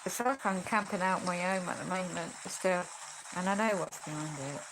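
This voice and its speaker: A woman's voice: lowish voice, normal speed, noisy background. A woman chatting casually in a rambling vlog, congested and losing her voice, filmed on a phone a friend holds at home. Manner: chatty, rambling, with sighs and tag questions. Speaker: vlogger. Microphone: phone held by a friend.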